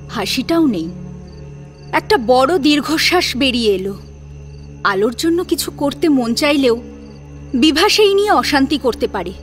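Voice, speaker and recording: Female audio-story narrator: high pitch, lively emotive delivery, music bed. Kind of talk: expressive dramatic audiobook narration.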